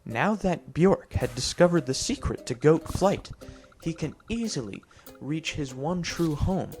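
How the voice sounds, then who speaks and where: A man improvising a mock-storybook narration over gameplay in a mock-serious, deadpan way. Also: on the high side, measured pace. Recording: music behind the voice. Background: clear background music.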